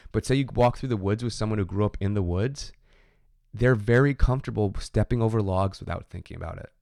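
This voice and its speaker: A man's voice: on the low side, a little mellow, dry recording, clean studio sound. A man teaching a sociology class in a conversational lecture, often checking in with "right?". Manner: conversational, frequent "right?" tag questions. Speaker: sociology instructor. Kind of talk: recorded lecture.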